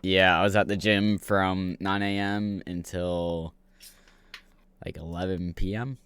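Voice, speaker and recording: A man co-hosting a podcast in casual chat, relaxed and teasing, with a slightly raspy voice. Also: sits low, takes it slowly, no echo. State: raspy from a long day. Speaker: podcast co-host.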